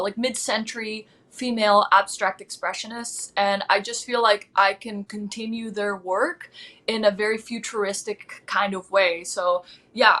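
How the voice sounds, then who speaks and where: A woman's voice: a little high, sharp tone. A woman in her twenties, a guest artist, talking about her work in an upbeat, enthusiastic way over a video call. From East Coast of the United States. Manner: upbeat, enthusiastic, with frequent fillers. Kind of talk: casual interview answers.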